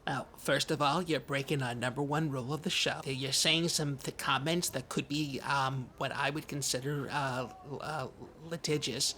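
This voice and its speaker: A performer playing a needy, wounded, childlike podcast host, talking in a halting, earnest, hesitant way in improvised comedy. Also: medium pitch, steady tone, sharp tone, close to an everyday voice. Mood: flustered. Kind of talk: improvised deadpan comedy talk. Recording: noticeable background noise, lobby ambience.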